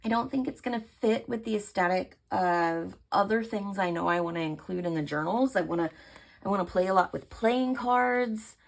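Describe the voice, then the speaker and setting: A woman with a hoarse voice from allergies, chatting casually to camera about her art process and thinking aloud with many fillers. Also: medium pitch, normal speed. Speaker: artist vlogger.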